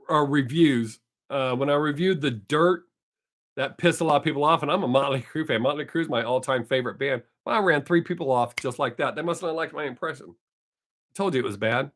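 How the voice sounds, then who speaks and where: A man's voice: a little high, fairly bright tone. Chatty older man with a drawl. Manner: rambling, self-correcting, chatty. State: voice not fully recovered. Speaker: live stream host. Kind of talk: casual chat with viewers.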